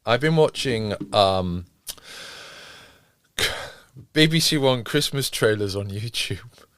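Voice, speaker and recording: A man with a fairly low voice chats casually as a podcast host. He rambles and hesitates his way through his thoughts, recorded close and dry. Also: expressive, slow, fairly bright tone, clean tone, dry recording.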